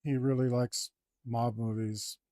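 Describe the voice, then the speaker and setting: A man talking casually to himself as he works on a digital drawing, mumbling in a relaxed way. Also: mid-range voice, measured pace, dry recording, studio quality. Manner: mumbling to himself, laid-back. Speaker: digital artist. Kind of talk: casual talk while drawing.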